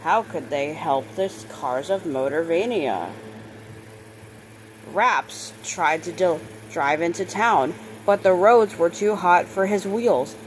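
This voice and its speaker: Woman slowly reading a children's storybook aloud. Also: fairly low pitch, animated, background noise. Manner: halting reader, stumbles and corrects words. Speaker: storybook reader. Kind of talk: children's book read-aloud.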